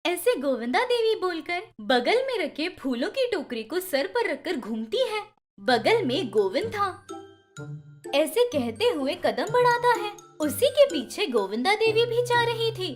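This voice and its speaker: Animated high-voiced woman narrating children's stories. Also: unhurried. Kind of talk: scripted children's story narration. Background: music.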